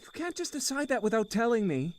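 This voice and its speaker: A man playing a weary, middle-aged uncle with a failing marriage, earnest and measured, in a visual novel game. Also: very high voice, sing-song, dry recording. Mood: frustrated, firm.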